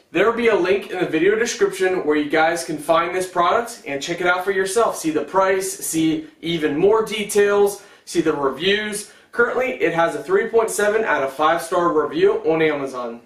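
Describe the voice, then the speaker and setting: A man's voice: high-pitched, expressive, normal speed, fairly bright tone. A man in his thirties doing a casual product unboxing review, talking as a reviewer at home. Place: room at home.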